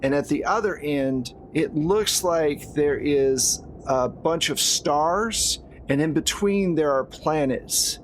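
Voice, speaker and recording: A man's voice: fairly high pitch, slow pace, few pauses, rough recording. A man narrating a horror tabletop roleplaying game as game master, in a conversational way with casual asides. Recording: clear background noise.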